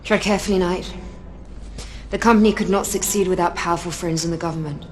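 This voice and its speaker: A woman's voice: lowish voice, steady tone, fast, a little hiss or hum, natural voice. An actress playing a grave, guarded rebel leader and mother in a video game, speaking in formal, solemn phrases. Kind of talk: dramatic video game acting.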